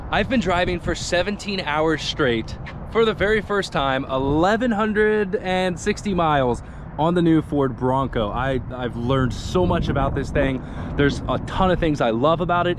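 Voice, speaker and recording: Rambling man filming from his car. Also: a little high. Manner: rambling, chatty, with fillers. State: tired, loopy. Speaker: vlogger. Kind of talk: casual driving vlog. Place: inside a moving car. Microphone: dash camera in the car. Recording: loud road noise in a car. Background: loud road and engine noise.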